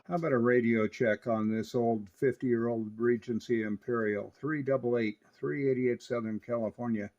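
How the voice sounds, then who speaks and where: A man's voice: medium pitch, steady tone, moderate pace, hardly any pauses, darkish tone, muffled recording. An American man chatting casually and enthusiastically over CB radio, explaining things like a keen hobbyist.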